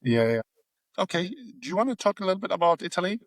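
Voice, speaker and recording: A man's voice: high-pitched, big pitch swings, fast, dry recording. Hesitant male podcast host. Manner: hesitant, many fillers and restarts. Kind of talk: casual interview talk.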